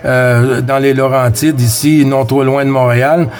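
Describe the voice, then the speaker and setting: A man with a Quebec French accent chatting casually as a CB radio operator, friendly, with halting phrasing and repeated words. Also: mid-range voice, little pitch movement, a little mellow. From Quebec, Canada. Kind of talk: casual radio chat with callsigns.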